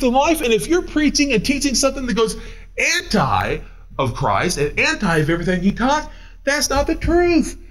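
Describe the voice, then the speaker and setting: Pastor, 40s, male: high, swooping delivery, paces the pulpit, argues with imagined doubters. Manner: animated, swooping pitch, paces while preaching; answers his own imagined questions. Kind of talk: sermon.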